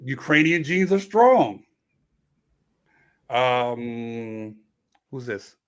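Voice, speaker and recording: A man's voice: mid-range voice, expressive, drawn-out words, fairly bright tone. A man hosting a casual late-night panel discussion, explaining at length and restarting his sentences. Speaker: host.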